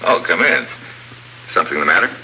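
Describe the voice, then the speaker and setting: An actor playing a tough, cool American undercover agent in 1950s radio drama style, clipped and wry. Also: male voice, low voice, lively intonation, fairly quick, sharp tone, full character voice, poor audio. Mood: guarded. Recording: old radio recording, faint noise.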